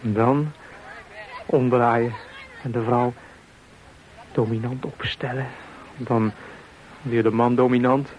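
A man in a radio play, talking slowly and obsessively, repeating words over and over. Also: mid-range voice, fairly even intonation, a little mellow, slight background noise, low-quality recording. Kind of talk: radio drama monologue.

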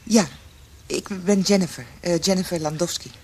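A woman's voice: lowish voice, moderate pace, darkish tone, husky. An actress playing a nervous, wistful woman who stammers hesitantly in a radio drama. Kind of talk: Dutch radio drama acting.

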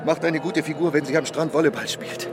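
A man's voice: fairly high pitch, steady tone, fairly quick, music in the background, close to an everyday voice. A man playing a terse, unflappable provincial detective in a crime radio drama, with a dry, understated way of talking. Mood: dry, probing.

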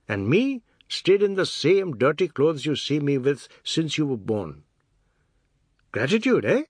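A man narrating puts on a middle-aged bully of an uncle from a storybook. His voice rides high and swings widely, husky and sneering. He boasts and taunts in colloquial grammar, all jovial menace. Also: dry recording, clean studio sound. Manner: non-standard colloquial grammar, taunting rhetorical questions.

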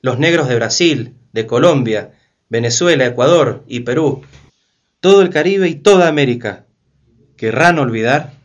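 A man's voice: mid-range voice, animated, moderate pace, highs cut off, close to an everyday voice. A man playing an impassioned, argumentative historian in a community radio play, explaining emphatically and rhetorically. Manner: emphatic, rhetorical questions, didactic. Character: impassioned male historian denouncing slavery, argumentative.

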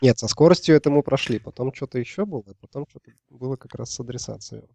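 A man's voice: mid-range voice, fast talker, gravelly, mellow, no room sound. A man in a casual technical discussion, asking tentatively and repeating words as he thinks aloud.

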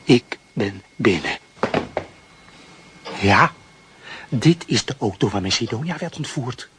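A man's voice: mid-range voice, animated, takes it slowly, noisy background. An actor playing a proud, self-pitying male street dog who calls himself a detective, in a children's radio play. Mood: sneaking. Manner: theatrical, self-pitying and boastful.

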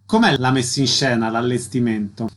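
A man's voice: medium pitch, fairly quick, fairly bright tone. A man hosting a radio programme, reading announcements and putting questions to a guest. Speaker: radio host. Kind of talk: scripted radio announcing and interviewing.

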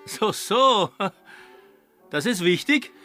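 A man's voice: sits high, expressive, slow pace, sharp tone, reverberant, soft background music, exaggerated voice. An actor playing a brash, suspicious village man with a blunt, challenging manner in a radio drama. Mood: taunting, hostile. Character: brash, coarse, suspicious male village bully.

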